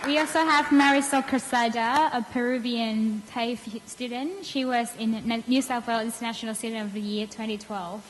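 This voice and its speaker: Woman moderator, slightly high voice, noisy hall. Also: steady tone, moderate pace, hardly any pauses. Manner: reads introductions with small stumbles and restarts. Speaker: panel moderator. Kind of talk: introducing panelists at a live event. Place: event hall.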